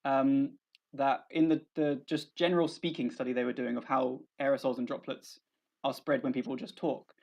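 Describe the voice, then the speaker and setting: A man's voice: mid-range voice, steady tone, moderate pace, gravelly. A young man with a southern English accent, a researcher guest talking casually, often restarting his sentences in a modest, hesitant way. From south of England. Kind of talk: casual conversation. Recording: dull lo-fi audio.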